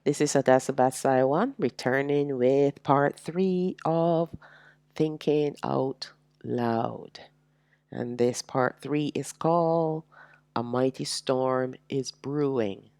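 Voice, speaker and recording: A woman teaching a slow, reflective sermon, sounding nasal and congested from allergies, with drawn-out, emphatic phrasing. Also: rumbling low, dark tone, dry recording. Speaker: religious teacher.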